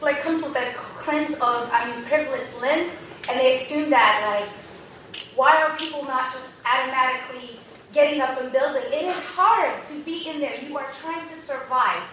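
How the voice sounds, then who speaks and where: Woman, audience comment, sharp bright voice, distant and heavily echoing lecture hall, spontaneous delivery. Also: high-pitched, moderate pace. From Somalia, moved to America young. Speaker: audience member. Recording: echoey hall, distant mic, some noise.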